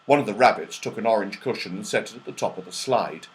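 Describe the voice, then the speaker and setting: Animated male children's storyteller. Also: lowish voice, fast. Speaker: audiobook reader. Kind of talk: expressive children's storybook narration.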